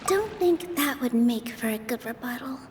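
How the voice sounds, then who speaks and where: A woman voicing a gentle, principled young female dancer in a video game, earnest and quietly determined. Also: sits high, animated, normal speed, background noise. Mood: anxious, pensive. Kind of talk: English game voice acting.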